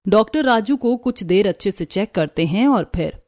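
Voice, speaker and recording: A woman's voice: medium pitch, animated, normal speed, a little mellow. A woman reading scripted narration for a cartoon family story.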